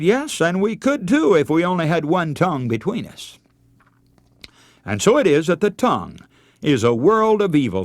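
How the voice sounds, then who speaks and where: A man's voice: medium pitch, dry recording. An older man preaching a radio sermon, telling stories in an earnest way and calling his listeners friends.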